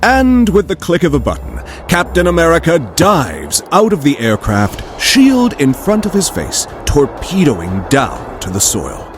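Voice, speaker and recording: A man's voice: fairly high pitch, wildly swooping pitch, unhurried, hardly any pauses. A man narrating an audio drama in a scripted, dramatic storytelling style. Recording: music behind the voice.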